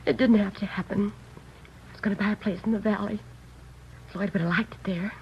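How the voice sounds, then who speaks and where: A woman's voice: mid-range voice, fairly even intonation, brisk, natural voice. An actress in 1950s radio drama playing a worried, devoted seamstress in her thirties who talks hesitantly and pleads. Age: 30s. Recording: muffled old radio broadcast with hiss.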